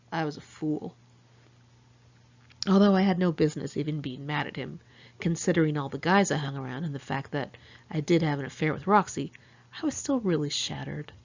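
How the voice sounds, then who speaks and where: A woman's voice: low-pitched, a little mellow. A woman reading her own first-person memoir aloud as an audiobook, in a candid, conversational, confessional manner. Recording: dull lo-fi home recording.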